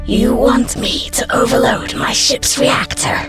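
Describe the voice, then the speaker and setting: A woman's voice: a little high, steady tone, measured pace, gravelly, over music. A woman playing a world-weary, fatalistic female alien hybrid engineer in a science fiction audio story, sardonic and despairing. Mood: incredulous. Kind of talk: dramatic audio story acting.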